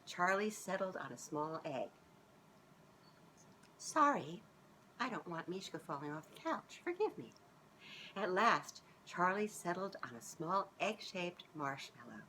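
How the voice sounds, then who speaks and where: A middle-aged woman reading a children's storybook aloud in an expressive, sing-song way, as if speaking directly to young listeners. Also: on the low side, slight background noise, poor audio. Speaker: children's author reading her own book aloud. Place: small living room, on a couch indoors.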